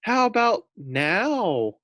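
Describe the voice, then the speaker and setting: A man's voice: high-pitched, very animated, drawn-out words, fairly bright tone, no echo, pitched above the performer's own voice, exaggerated voice. A man voicing a small male pet pig in a children's storybook, scared but wry, with a droll, plaintive delivery. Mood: anxious, pleading. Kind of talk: children's storybook read-aloud.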